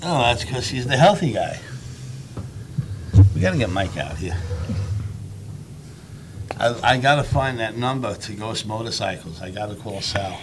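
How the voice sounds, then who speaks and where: Loud, mocking man on a live show. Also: lowish voice, lively intonation, unhurried, long pauses, background noise. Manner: loud, mocking, crude joking. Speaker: co-host of a live web show. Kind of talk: casual crude banter on a live stream.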